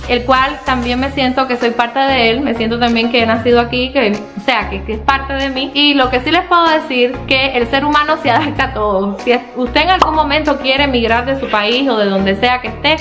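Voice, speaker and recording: A woman's voice: sits high, a bit bright, echoey room. A Cuban woman with a Dominican-tinged accent, telling her life story to viewers in a chatty way and often calling out to them. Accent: Dominican-tinged Cuban Spanish. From Cuba. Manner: chatty, addresses viewers as "señores". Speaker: storyteller in a reacted video. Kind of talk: personal story told to viewers.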